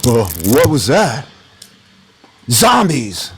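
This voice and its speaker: A man's voice: mid-range voice, big pitch swings, very slow, noisy background, pitched above the performer's own voice. A man voicing a startled young man who hears zombies in a short radio skit. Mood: alarmed. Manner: alarmed, exclaiming.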